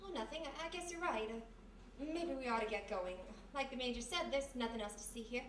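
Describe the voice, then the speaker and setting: A woman playing a perky, quick-thinking detective's sidekick with a coaxing manner, in old-time radio mystery style. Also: sits high, fairly bright tone. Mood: evasive, nervous. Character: quick-thinking young female detective's sidekick, former vaudevillian. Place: live performance in a roomy historic house. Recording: echoey live stage, distant mic, hiss.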